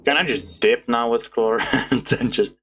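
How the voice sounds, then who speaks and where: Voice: medium pitch, measured pace, fairly bright tone, slightly rough. A game streamer making casual, giggly asides in a muttering way while playing. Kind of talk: casual game livestream commentary.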